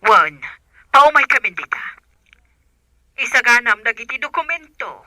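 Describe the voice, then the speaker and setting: A woman's voice: on the high side, animated, a little slow, sharp tone, dry recording, full character voice. A woman playing a resolute, commanding aunt in a radio drama, giving urgent instructions. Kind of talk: Ilocano radio drama acting.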